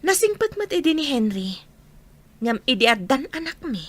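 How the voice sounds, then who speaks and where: A woman's voice: high-pitched, sing-song, moderate pace. A woman playing a sorrowful, guarded single mother in a radio drama. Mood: wary.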